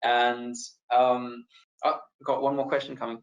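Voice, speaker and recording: A man with a South African accent, a financial adviser presenting a live online seminar and taking audience questions in a relaxed, friendly way. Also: mid-range voice, a little slow, roomy sound, highs cut off. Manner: relaxed and friendly, with frequent fillers and repeated words. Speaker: financial adviser hosting a webinar.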